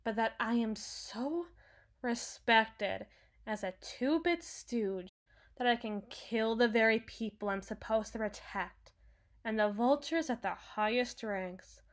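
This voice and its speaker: A woman playing a cynical, menacing henchwoman with a hidden soft spot in an ASMR roleplay, brooding and sardonic, sometimes sinking toward a whisper. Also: on the high side. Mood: boastful, menacing. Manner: slow, brooding, sardonic, dropping into breathy whispers. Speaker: audio roleplay performer.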